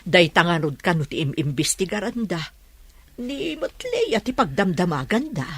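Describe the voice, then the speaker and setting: An actress plays a concerned middle-aged Filipino mother giving counsel. The voice is low and husky with lively swings in pitch. Music plays under this radio drama. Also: normal speed, no room sound, full character voice. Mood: worried. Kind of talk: Ilocano radio drama acting.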